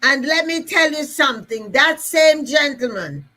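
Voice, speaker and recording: A woman's voice: high-pitched, lively intonation, unhurried, pushed and bright. A woman with a Jamaican accent giving an emphatic, repetitive motivational talk to listeners. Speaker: motivational speaker.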